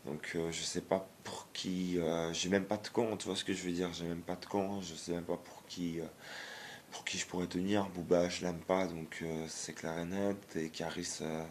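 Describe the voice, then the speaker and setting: A man, hoarse from a cold, giving a blunt, halting vlog rant full of fillers and restarts. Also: low-pitched, little pitch movement, a little hiss or hum. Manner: hesitant, many fillers and restarts; blunt, disapproving. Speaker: YouTuber.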